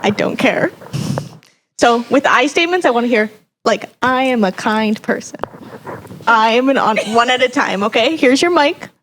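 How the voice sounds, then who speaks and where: A woman's voice: a little high, lively intonation, moderate pace, noisy background. A woman giving casual instructions in a bossy, no-nonsense way.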